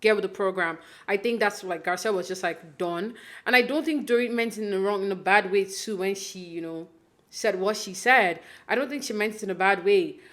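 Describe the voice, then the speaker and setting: A woman's voice: mid-range voice, a little fast, a bit bright. A young adult woman from Nigeria giving a casual, chatty recap of a reality show, full of fillers and restarts and acting out quotes.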